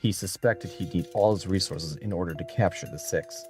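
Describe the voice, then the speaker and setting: A man reading scripted adventure fiction as an audiobook narrator, in a dramatic, measured storytelling manner. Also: low voice, darkish tone, faint music behind.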